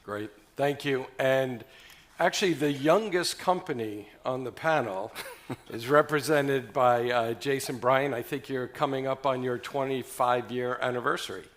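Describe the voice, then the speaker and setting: A man in the moderator's seat at a radio industry conference panel, speaking at an ordinary mid pitch. He runs through the agenda in a brisk, orderly way and hands the floor to each guest in turn. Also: fairly bright tone.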